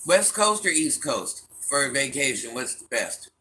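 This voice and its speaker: Middle-aged woman w/ a deep smoky voice, sassy n blunt, reading chat live, bright edgy tone. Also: sing-song, normal speed, low-quality recording. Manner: blunt, sassy, talks back to commenters. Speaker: livestream host reading chat comments.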